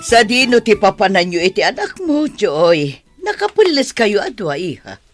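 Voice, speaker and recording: A woman playing a lively, swooping motherly grandmother. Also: medium pitch, moderate pace, soft background music, close to an everyday voice. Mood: caring. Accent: Ilocano. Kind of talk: radio drama acting.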